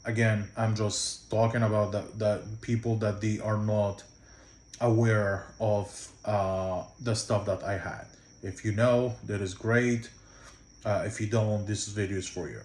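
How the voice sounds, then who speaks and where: A man's voice: lowish voice, a little mellow, reverberant. A man from Egypt with an Egyptian accent, giving casual travel tips to the camera in a loose, rambling way full of fillers and restarts. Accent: Egyptian Arabic. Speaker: travel vlogger.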